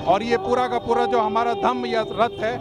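A man's voice: very high-pitched, little pitch movement, moderate pace. A middle-aged man organizing a rally, explaining its aims steadily into a reporter's handheld mic on a city street. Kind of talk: earnest explanation.

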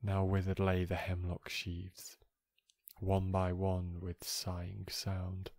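A man with a soft voice reading poetry aloud in a measured, gentle, calming way. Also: low voice, no room sound. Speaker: poetry reader. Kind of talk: slow, calm recited poetry reading for relaxation.

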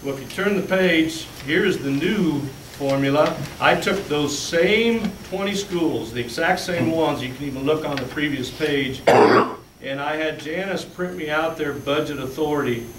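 A man's voice: on the high side, a little slow. A man explaining budget figures step by step to a school board in a large meeting room, recorded from a distance. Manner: plainspoken, walks listeners through handouts step by step. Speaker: school business official presenting budget. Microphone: far room camera. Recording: echoey room, distant mic, faint hum.

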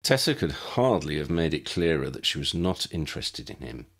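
A man's voice: very deep voice, sing-song, moderate pace, a bit bright. A man narrating a scripted audiobook story.

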